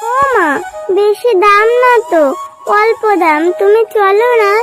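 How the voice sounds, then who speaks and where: A tiny, very high-pitched little fox girl in a cartoon. She is eager and whiny, wheedling like a small daughter begging, with music underneath. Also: a little slow. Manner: wheedling, pleading. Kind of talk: cartoon character acting.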